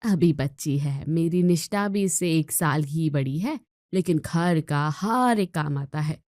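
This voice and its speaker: A gossipy middle-aged neighbour woman from a village-style tale, put on by a woman narrator. She is nosy and critical, needling in a fairly low, mellow voice. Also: expressive, a little slow, dry recording, clean studio sound.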